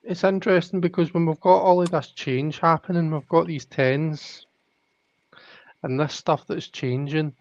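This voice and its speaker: A man's voice: on the high side, fairly even intonation, measured pace, a little mellow, dry recording. A man hosting a live tarot stream, thinking aloud in casual chat with many restarts. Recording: dull lo-fi audio.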